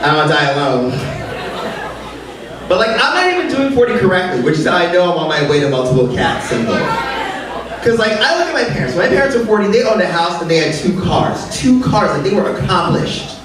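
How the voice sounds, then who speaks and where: A man in his late thirties doing a live stand-up set, animated and exaggerated, swooping into high squeals. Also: brisk. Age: late 30s. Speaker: stand-up comedian. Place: comedy club stage, live audience. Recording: roomy club sound from a distance, crowd laughter.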